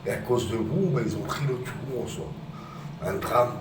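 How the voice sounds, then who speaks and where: A man filming himself on a phone outdoors near his home, rambling and agitated, rising to shouted accusations. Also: mid-range voice, moderate pace. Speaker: vlogger. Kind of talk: rambling phone-filmed rant. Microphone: phone camera. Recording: roomy distant outdoor phone recording with loud ambient noise.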